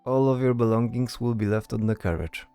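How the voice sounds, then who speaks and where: A man's voice: medium pitch, fairly quick, warm tone, clean tone, soft background music, close to an everyday voice. A man voicing a composed, commanding noble knight in a fantasy audio drama, speaking with formal authority.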